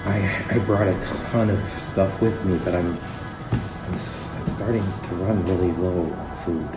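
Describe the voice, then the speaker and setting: A man playing a confused, defensive and weary accident survivor under questioning, halting and stammering, in a naturalistic fiction podcast. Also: low voice, steady tone. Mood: weary, uneasy. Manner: halting, stammering, repeats words. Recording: roomy echo, music underneath.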